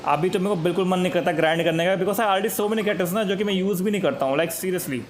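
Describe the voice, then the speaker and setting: A man's voice: sits high, rapid-fire, slight background noise. A man with an Indian accent chatting casually and grumbling as a game streamer, switching between two languages. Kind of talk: casual chat over live gameplay.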